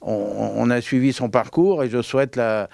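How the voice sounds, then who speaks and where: A man speaking as a local community council president in a news interview, halting with frequent fillers and restarts. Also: mid-range voice, vocal fry, darkish tone, no room sound. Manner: hesitant, many fillers and restarts. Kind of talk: on-camera interview statement.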